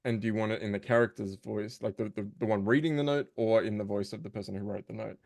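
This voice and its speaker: Man, conversational and thoughtful, lowish voice with vocal fry, tentative phrasing, dry recording. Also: steady tone, fast talker, darkish tone, dry recording. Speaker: voice actor, guest. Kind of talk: casual conversation.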